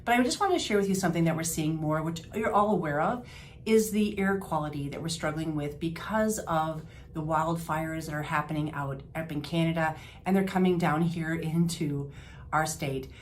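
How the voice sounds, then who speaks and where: A woman's voice: deep voice, normal speed, slight background noise. An older woman, a health practitioner giving casual wellness advice in a homey, chatty way with fillers and restarts. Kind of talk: informal health advice talk to camera.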